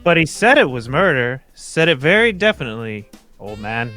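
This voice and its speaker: A man's voice: medium pitch, big pitch swings, moderate pace, bright, forceful tone, soft background music. A man playing a genial, quaint detective sidekick in a radio play, with stagy old-chap phrasing. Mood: genial, curious. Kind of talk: radio play table read.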